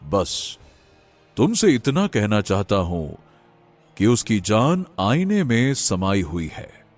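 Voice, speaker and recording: A grave holy sage from a cartoon folk tale, acted by a man speaks low and very slowly. His delivery is solemn and mysterious, with swings in pitch, over soft background music. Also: very animated, heavily acted. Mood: solemn, mystical. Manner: slow, grave, chanting Om. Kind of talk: animated folk tale acting.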